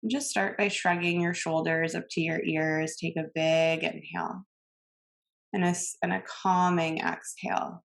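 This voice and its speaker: A woman instructor leading a guided meditation in a calm, gentle manner, giving soothing cues with long pauses. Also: lowish voice, gravelly.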